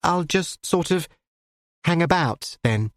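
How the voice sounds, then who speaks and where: A breathless, impulsive young woman, as a reader puts her on, pushes every line with urgent emphasis. Her voice rides well above the narration and swings widely in pitch. The recording is close and dry. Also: lively intonation, takes it slowly, dry recording.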